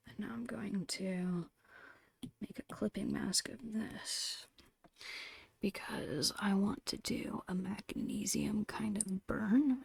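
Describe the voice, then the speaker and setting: A woman whispering in a breathy, creaky voice, murmuring her way step by step through an ASMR art narration. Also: mid-range voice, no room sound. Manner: unhurried, murmured step-by-step musing. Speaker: host of an art ASMR channel.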